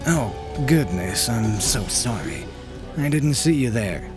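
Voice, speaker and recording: A man playing a mild, polite older gentleman sorcerer in an audiobook, apologetic and courteous. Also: medium pitch, big pitch swings, takes it slowly. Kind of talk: audiobook character dialogue. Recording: music and tavern crowd behind.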